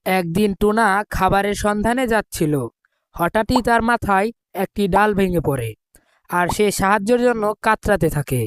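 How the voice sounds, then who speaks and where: A woman's voice: medium pitch, normal speed, no room sound. A woman narrating a scripted children's cartoon story as the storyteller.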